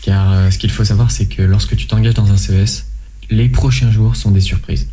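A young man from France with a low, very dark and mellow voice. He answers questions in a measured way, hesitating and restarting now and then. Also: fairly even intonation. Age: 20s. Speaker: interview guest, volunteer.

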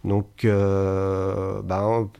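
A man from Angers speaks in a fairly low voice. He talks spontaneously in an interview and hesitates often, filling pauses and restarting his sentences as he explains his collection. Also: level tone, creaky, dark tone, clear, resonant tone. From France, Angers. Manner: hesitant, many fillers and restarts. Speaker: museum founder, association member. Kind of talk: radio interview, spontaneous speech.

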